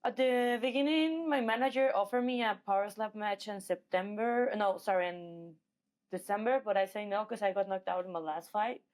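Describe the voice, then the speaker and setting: A woman's voice: mid-range voice, moderate pace, a little mellow. A woman from Mexico with a Mexican accent, talking casually as an athlete being interviewed. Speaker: guest, professional MMA fighter.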